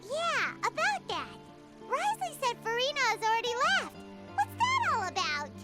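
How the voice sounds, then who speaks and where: A woman's voice: very high voice, huge pitch swings, moderate pace, full character voice. A woman voicing a tiny, curious floating fairy companion in a game, speaking of herself in the third person and asking eager questions. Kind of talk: game character dialogue. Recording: game audio with loud music behind. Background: music.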